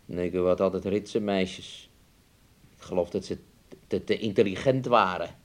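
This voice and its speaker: A man's voice: deep voice, measured pace. An actor playing a menacing, mocking young man in a radio play, taunting and wheedling as he speaks of himself in the third person. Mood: gloomy, brooding. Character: menacing, mocking young man bullying his sick fiancée. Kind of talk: Dutch radio play acting.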